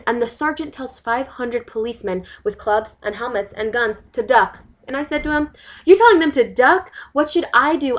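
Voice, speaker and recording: A woman's voice: a little high, lightly acted. A woman performing a dramatic monologue as an indignant, worried New York mother, emphatic and exasperated, firing rhetorical questions. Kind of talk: dramatic monologue, testimonial to a listener.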